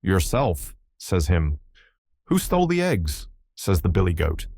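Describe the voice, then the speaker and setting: Guy doing an old irish sailor for an audiobook, chatty yarn spinner, excitable, lowish voice. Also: normal speed, no room sound, studio quality. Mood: lively storytelling. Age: older. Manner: rambling, colloquial yarn-spinning.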